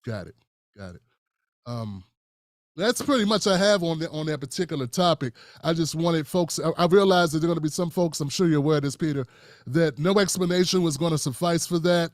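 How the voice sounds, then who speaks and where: A man hosting a live-stream interview, speaking in a measured way with frequent fillers and restarts. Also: on the high side, no echo, studio quality. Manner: measured, many fillers and restarts, calls guest brother. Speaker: host.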